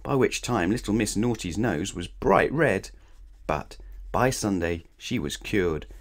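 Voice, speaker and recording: Deep-voiced man reading a children's story. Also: unhurried. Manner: animated, playful, addresses young listeners directly. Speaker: storybook reader. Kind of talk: expressive scripted children's story read-aloud.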